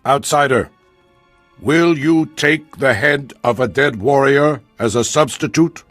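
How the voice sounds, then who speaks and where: A grave alien warrior chief in a sci-fi game. His speech is slow and formal, with a rough husk, proud and reasoning. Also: mid-range voice, a bit bright, no echo, faint music behind. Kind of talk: video game voice acting.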